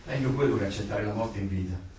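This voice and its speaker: An actor playing an anxious, nostalgic rebel clerk in an Italian dystopian radio drama, earnest and swinging from hushed to heated. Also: male voice, fairly low pitch, fast talker, distant mic, slight background noise, natural voice. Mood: hushed, afraid. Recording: echoey, off-mic radio play over music.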